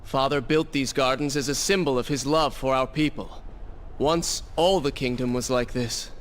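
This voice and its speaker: An actor voicing a weary, sardonic young prince in a video game, talking in a dry, sarcastic way. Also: male voice, mid-range voice, fairly even intonation, moderate pace, a little hiss or hum, close to an everyday voice. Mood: wry. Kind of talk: game cutscene acting. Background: game music.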